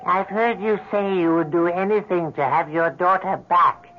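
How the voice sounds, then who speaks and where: A man playing a menacing devil tempter in a radio drama, speaking slowly and insistently. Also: high-pitched, music in the background, lightly acted. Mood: coldly coaxing. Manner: slow, deliberate, insistent. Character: sinister devil tempter, slow, coaxing, menacing male spirit. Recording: dull lo-fi radio recording.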